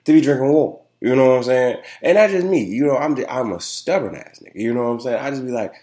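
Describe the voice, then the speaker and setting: A man's voice: medium pitch, animated, fast, mellow. A young man hosting a casual solo podcast, congested and nasal, rambling and chatty with lots of fillers. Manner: rambling and chatty, keeps asking "you know what I'm saying". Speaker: podcast host. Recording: roomy, echoey room sound.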